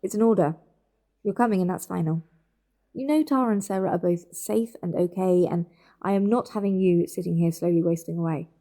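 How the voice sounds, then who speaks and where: A woman's voice: on the low side, brisk, warm tone, lightly acted. A woman playing a protective, bossy older sister in a naturalistic audio drama, firm and insistent.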